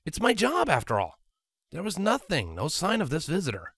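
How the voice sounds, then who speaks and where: A woman's voice: low-pitched, huge pitch swings, normal speed, husky, dry recording, clean studio sound, voice pushed up, exaggerated voice. A woman voicing a dutiful young male dragon assistant in a storybook reading, matter-of-fact, insistent and exasperated.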